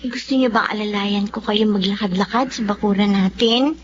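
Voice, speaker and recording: A woman with a Filipino accent playing a devoted young daughter in a Tagalog radio drama, gentle and earnest. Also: mid-range voice, moderate pace, a little hiss or hum, natural voice. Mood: gentle, coaxing. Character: devoted, tender young daughter caring for sick father. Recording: muffled radio drama audio, faint music.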